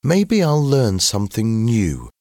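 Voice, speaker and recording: Adventurous storybook schoolboy, lively and raised in pitch, eager and persuasive; adult male reader plays him. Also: takes it slowly, no echo, clean studio sound, full character voice. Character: Billy, eager daring schoolboy, curious and secretive.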